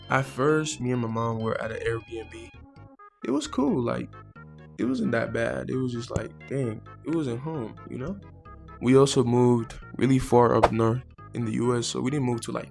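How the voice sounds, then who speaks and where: A man's voice: medium pitch, creaky, darkish tone. A teenage boy from Mexico talking casually to camera as the host of his own vlog, chatty and laid-back, with frequent fillers and repeated words. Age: teens. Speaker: vlogger host.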